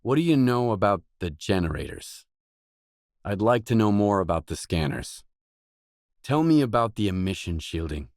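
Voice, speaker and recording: An actor playing a wary, world-weary stalker, the blunt protagonist of a video game, in terse acted dialogue. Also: male voice, fairly low pitch, big pitch swings, normal speed, a little mellow, dry recording, studio quality. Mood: probing, wary.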